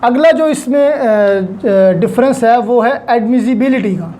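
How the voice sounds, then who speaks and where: A woman with a Pakistani accent giving an explanatory law lecture, deliberately and with repeated key points. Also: a little high. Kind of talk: explanatory lecture mixing in English legal terms. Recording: faint steady background noise.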